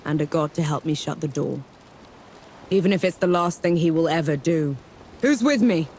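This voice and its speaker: A woman's voice: low voice, normal speed, a little mellow, a little hiss or hum. Fierce, determined soldier woman. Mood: rallying. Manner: resolute, commanding. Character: determined female soldier, fierce rallying god-killer. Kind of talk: video game cutscene acting.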